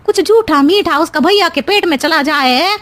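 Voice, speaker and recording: Shrill, meddling sister-in-law. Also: female voice, moderate pace, a bit bright. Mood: sly, insinuating. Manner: shrill, wheedling, nagging, exaggerated alarm. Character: meddling, jealous, whining elder sister-in-law in animated family tale.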